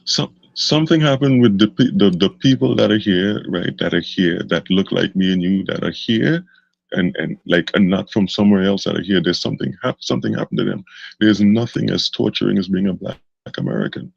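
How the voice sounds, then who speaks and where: Podcast co-host, male, husky low voice, lo-fi recording, animated pushback. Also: a little fast, no echo. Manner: animated, pushes back, motivational. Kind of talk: live podcast debate. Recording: dull lo-fi sound.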